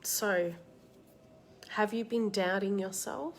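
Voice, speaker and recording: A woman with a slight cold and a tickly throat, hosting a live card reading and giving soft, reassuring advice to viewers by name. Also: mid-range voice, steady tone, slow pace, a little hiss or hum. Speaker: card reader and meditation host. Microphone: live-stream setup.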